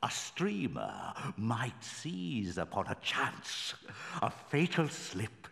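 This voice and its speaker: Ominous prophet, low gravelly voice, portentous verse recitation, fantasy game, long reverb. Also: male voice, huge pitch swings, a bit bright, heavily acted. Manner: portentous, deliberate.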